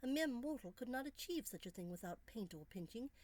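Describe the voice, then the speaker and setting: A woman's voice: fairly high pitch, lively intonation, a little fast, darkish tone, voice pushed up. A woman voicing a bold, melancholy young Victorian Englishwoman in a storybook, candid and earnest, with formal, emphatic phrasing. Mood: bold, frank.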